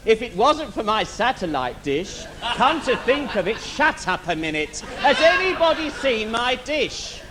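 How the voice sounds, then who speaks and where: High-voiced camp pantomime genie. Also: male voice, big pitch swings, measured pace, hardly any pauses, full character voice. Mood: mock indignant. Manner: camp, theatrical, chatty, grumbling. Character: camp, bawdy, self-styled butch male genie of the lamp. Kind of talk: adult pantomime stage comedy. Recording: live theatre stage, background noise.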